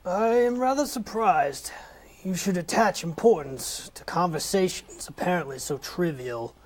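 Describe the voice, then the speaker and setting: A man's voice: high-pitched, animated, a little slow. Fussy old miser, stammering and hesitant, read by a man for a podcast radio play. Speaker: lead actor in a comedy reading. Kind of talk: amateur comedic radio play reading.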